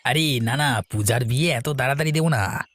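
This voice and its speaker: A man's voice: medium pitch, moderate pace, a bit bright, natural voice. A man playing a cheeky, chatty village errand-runner in a cartoon folk tale, colloquial and teasing. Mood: friendly, chatty. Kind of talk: cartoon fairy-tale dialogue. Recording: faint music behind the voice.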